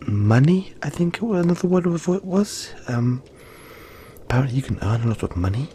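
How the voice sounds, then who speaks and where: A man voicing a gentle, cuddly young yeti in a soft-spoken, hesitant, soothing roleplay addressed to the listener. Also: mid-range voice, slight background noise. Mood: encouraging, musing.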